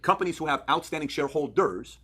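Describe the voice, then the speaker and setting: A man's voice: on the high side, lively intonation, fast talker, fairly bright tone, husky. A man in his thirties from Iran presenting a persuasive explainer, earnest and emphatic, posing questions and answering them himself. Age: 30s. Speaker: video presenter.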